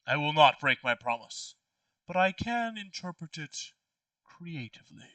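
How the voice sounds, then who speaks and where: A man voicing a hired wizard in a party game, speaking with polite, measured menace. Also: a little high, sing-song, pushed and bright, no echo, recorded from a distance, voice pushed up, full character voice. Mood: measured, menacing.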